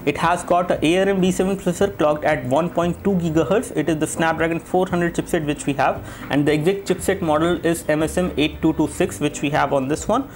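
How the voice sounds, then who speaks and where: A man's voice: fairly high pitch, steady tone, fairly quick, slight background noise. A man giving an explanatory hands-on gadget review, repeating phrases as he walks through features. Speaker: tech reviewer.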